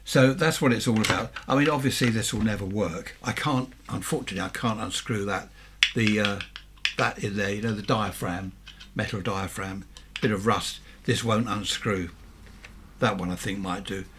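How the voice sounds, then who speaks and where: A man in his seventies with a southern English accent and a husky voice, giving a chatty, rambling, unscripted show-and-tell as a hobbyist, often questioning himself. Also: fairly low pitch, normal speed, noisy background. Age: 70s. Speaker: amateur radio hobbyist presenting vintage equipment.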